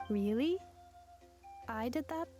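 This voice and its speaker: A woman's voice: on the high side, lively intonation, slow, dark tone, faint music behind. A woman voicing a polite, formal humanoid maid robot, bewildered by lost memory, in a video game.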